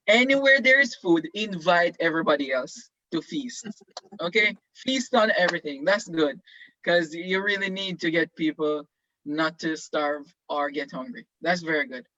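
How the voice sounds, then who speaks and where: A man's voice: high-pitched, sharp tone, no echo. A male teacher leading an online class discussion, encouraging students and asking questions with many fillers. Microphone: video call. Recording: dull lo-fi video call audio.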